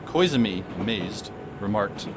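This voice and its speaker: A man narrating an audio drama as a wry, deadpan teenage boy. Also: lowish voice, a little slow, background noise.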